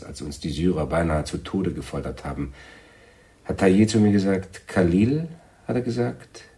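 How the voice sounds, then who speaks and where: An actor in a German radio drama playing a brooding, fervent Palestinian bomb-maker who speaks in earnest, emphatic statements. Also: male voice, sits low, darkish tone.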